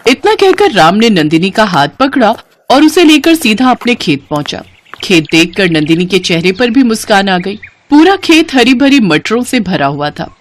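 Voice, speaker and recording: A woman narrator tells a scripted village fable. Her delivery is animated, with lively swings in intonation at a medium pitch. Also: normal speed. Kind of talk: scripted moral-story narration.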